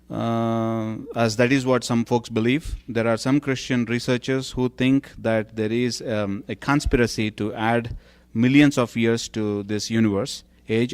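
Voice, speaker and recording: A man's voice: mid-range voice, darkish tone, smooth. A man moderating a church question session, with an ordinary mid-range voice. He talks hesitantly, filling gaps with ums and uhs while he reads the questions aloud and works through them. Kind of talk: reading out audience questions. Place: church hall.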